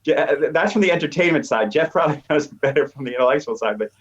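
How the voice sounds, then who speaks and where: A man's voice: fairly high pitch, expressive, quick pace. A middle-aged or older American man, a professor guest explaining things conversationally and emphatically in an interview. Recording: dull, slightly lo-fi voice track.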